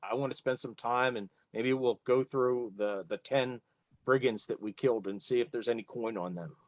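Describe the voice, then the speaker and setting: A man's voice: mid-range voice, moderate pace, dry recording. Male tabletop gamer, dry mid-range voice. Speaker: tabletop role-playing game player. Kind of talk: casual in-game discussion, saying his character's actions.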